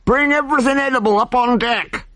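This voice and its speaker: A man's voice: extremely high pitch, brisk, fairly bright tone, no room sound, studio quality, voice pushed up. A man voicing a greedy pirate captain who blusters, gloats and pleads frantically. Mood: frantic.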